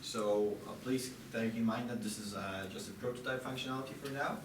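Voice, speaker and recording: A man giving a live product demonstration, speaking haltingly with many filler pauses. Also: mid-range voice. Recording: noisy, echoey room, distant microphone.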